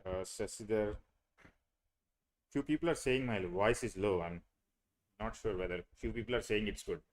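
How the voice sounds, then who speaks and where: A man's voice: lowish voice, normal speed. A young man, teaching a live online class on a video call, hesitant with many fillers and restarts. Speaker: online course instructor.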